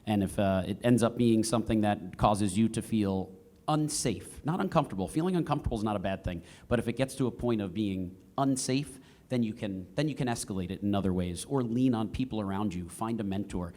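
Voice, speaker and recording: Man on an aviation panel, kinda low voice, earnest, repeats stuff to drive it home. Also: darkish tone. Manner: earnest, measured, repeats phrases for emphasis. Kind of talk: panel discussion advice.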